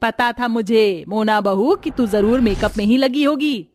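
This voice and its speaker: A woman playing a brisk, bossy middle-aged mother-in-law in a cartoon family story. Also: a little high, a little mellow, slight background noise, lightly acted. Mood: teasing scolding.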